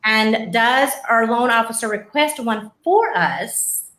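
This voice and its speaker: A woman's voice: a little high, lively intonation, slow pace, fairly bright tone. A woman hosting a casual live show, chatty and rambling, often laughing as she talks. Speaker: host.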